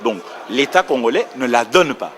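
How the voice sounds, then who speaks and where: Lecturing man with a lively voice. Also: medium pitch, normal speed. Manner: precise, lecturing, corrects himself mid-sentence. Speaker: round table panelist on nationality law. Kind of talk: explanatory legal commentary.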